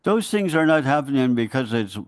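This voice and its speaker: A man in his sixties from the Middle Yukon in Alaska, giving earnest public testimony with halting restarts that turns urgent and pleading. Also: mid-range voice, big pitch swings. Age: 60s.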